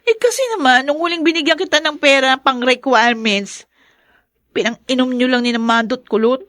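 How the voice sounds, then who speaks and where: A woman's voice: high-pitched, a little fast, a bit bright, no echo, clean studio sound. A woman playing a long-suffering young wife and mother in a Tagalog radio drama, pleading and emotional. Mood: wary.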